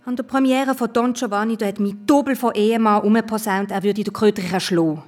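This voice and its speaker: An actress playing a harried, downcast violinist and worried wife, speaking in clipped phrases in a radio drama. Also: female voice, mid-range voice, steady tone, fast talker, a little mellow, close to an everyday voice. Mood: distressed, bitter.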